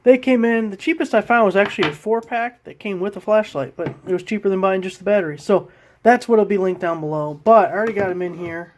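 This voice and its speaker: A man's voice: high voice, quick pace, a little hiss or hum. A man giving a casual, informal hands-on product test narration, with a self-deprecating manner. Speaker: product reviewer. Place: indoors around a house, behind the camera.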